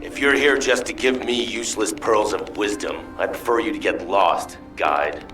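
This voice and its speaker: A man voicing a gruff, proud, impatient military construction leader bent on revenge in a video game, stern and brusque. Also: on the low side, moderate pace, music in the background, close to an everyday voice, poor audio. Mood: dismissive. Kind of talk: video game character acting.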